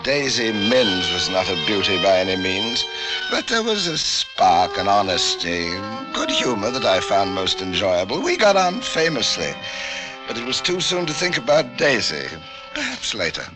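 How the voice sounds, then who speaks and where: An actor playing a fussy, unctuous middle-aged doctor with hidden menace, genteel and halting in the mannered style of old-time radio drama. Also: male voice, fairly low pitch, wildly swooping pitch. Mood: smug, confiding. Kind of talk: old-time radio drama acting, first-person narration and dialogue. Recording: vintage radio drama with music bed.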